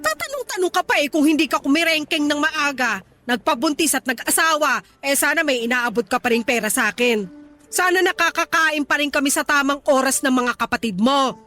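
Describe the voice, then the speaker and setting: An actress playing a bitter, nagging middle-aged mother in a radio drama, scolding with sudden sharp jumps in pitch. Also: expressive, fairly quick.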